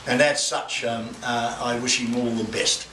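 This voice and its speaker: An older man opens an exhibition with a rambling speech full of stumbles and crude asides. He is heard from a distance in an echoey room, with clear noise behind him. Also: mid-range voice, level tone, sharp tone. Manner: halting, full of restarts, bawdy asides. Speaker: guest speaker opening an art exhibition. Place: gallery with a crowd. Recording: echoey room, far from the mic, noisy.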